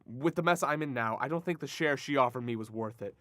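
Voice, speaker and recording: A man's voice: medium pitch, quick pace, bright, forceful tone, dry recording, clean studio sound, natural voice. A man playing an evasive, self-serving bank robber in a radio drama, nervous and pleading. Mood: confessing. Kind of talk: noir radio drama acting.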